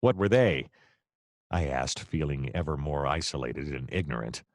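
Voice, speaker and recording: Actor playing a low-voiced, wry noir private eye. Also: male voice, moderate pace, dry recording, studio quality. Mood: exasperated, sardonic. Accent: American. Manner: wry, deadpan narration, hesitant and flustered in dialogue. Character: hapless, wry male private detective narrating his case. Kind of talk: noir detective narration and dialogue in an audio play.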